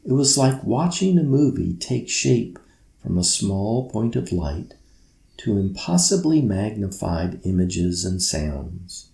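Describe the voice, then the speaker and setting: A man reading a children's story aloud as scripted narration, in a measured, gentle bedtime manner. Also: fairly low pitch, very animated, vocal fry. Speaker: author reading own story. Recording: roomy, echoey sound.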